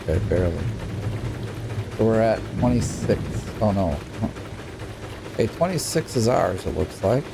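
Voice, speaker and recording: Deep gravelly man chatting over a game. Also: expressive, measured pace, pauses often, background noise. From Utah. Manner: chatty, muttering at the game, rambling asides. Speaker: gaming YouTuber. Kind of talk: casual let's-play commentary.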